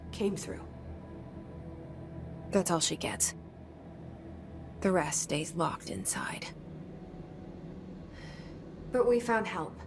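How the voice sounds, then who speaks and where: A woman playing a guarded, searching young heroine in a video game, restrained and confiding. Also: lowish voice. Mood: inward, tense. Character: guarded, searching young woman hunting her lost brother. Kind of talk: video game cutscene acting.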